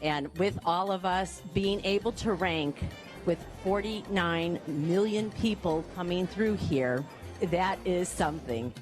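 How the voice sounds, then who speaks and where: A woman's voice: on the low side, takes it slowly, soft background music. Woman giving a heartfelt thank-you speech. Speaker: airport director giving a speech.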